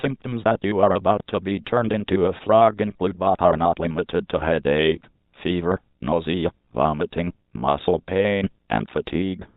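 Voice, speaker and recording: Deep male alert announcer, phone-like sound. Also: normal speed, no echo. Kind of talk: scripted emergency alert announcement. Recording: narrow-band phone-like alert audio.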